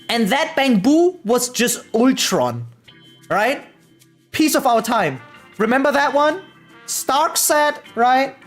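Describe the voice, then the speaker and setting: A woman game streamer chatting casually and opinionatedly on a live stream, swearing freely and often checking in with her listeners. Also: medium pitch. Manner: animated, opinionated venting with rhetorical check-ins.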